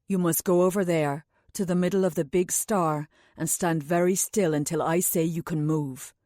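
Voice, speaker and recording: A woman reading an audiobook voices a grave, commanding man who speaks gently and slowly to a child. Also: steady tone, no echo, studio quality, natural voice. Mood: gentle coaxing. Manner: deep, slow, authoritative yet gentle.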